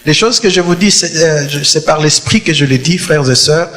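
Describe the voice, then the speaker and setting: Preaching man, bright reverb-washed church hall. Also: a little high, normal speed. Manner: emphatic preaching, repeats phrases for effect. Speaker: pastor. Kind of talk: sermon.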